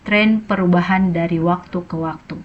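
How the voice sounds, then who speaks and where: Young woman, student presenter, careful slow reading, low voice, dull recording. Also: fairly even intonation. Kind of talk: reading slides in a group presentation.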